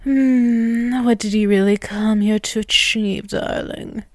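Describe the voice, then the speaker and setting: A woman's voice: medium pitch, fairly even intonation, no echo, studio quality, lightly acted. A woman voicing a dominant, ageless vampiress in an ASMR roleplay, teasing and condescending, drawing out her words. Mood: amused, condescending. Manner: slow, condescending, teasing, with drawn-out words and chuckles. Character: dominant, taunting ageless vampiress toying with a captive human.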